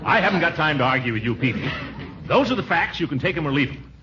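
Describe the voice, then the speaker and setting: An actor playing a pompous, flustered middle-aged bachelor uncle in a blustery, hemming 1940s radio comedy style. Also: male voice, on the high side, sing-song, quick pace. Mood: pompous, insistent. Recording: muffled, noisy 1940s radio broadcast recording.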